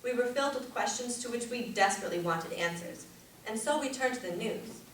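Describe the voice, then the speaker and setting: A young adult woman delivers a rehearsed persuasive speech in a formal, deliberate, projected manner, as a competitive public speaker. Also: a little high, expressive. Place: echoey indoor room at a speech tournament. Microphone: distant camera or room microphone. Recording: very echoey room, distant mic, faint noise.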